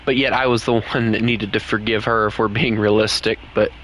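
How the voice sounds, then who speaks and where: A young man with a Southern US drawl streams a game and chats with the players he meets. His voice is bright and forward, and he rambles in a loose, candid way. A faint hiss sits under his talk. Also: on the low side, fairly even intonation, fairly quick, rough recording. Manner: rambling, candid, talks to other players while playing. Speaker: gaming streamer. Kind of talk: casual live-stream chat.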